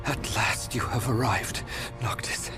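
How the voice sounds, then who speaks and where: Slow, stately nobleman. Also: lowish voice. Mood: reverent. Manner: stately, archaic, formal. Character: solemn, proud male noble loyal to rightful king. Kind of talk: dramatic video game voice acting. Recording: music over the voice.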